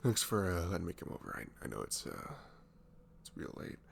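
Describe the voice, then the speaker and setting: A man playing a goofy young best friend in an ASMR roleplay, halting and mock-professional. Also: low voice, big pitch swings, gravelly, slight background noise, exaggerated voice. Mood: awkward, mock-formal.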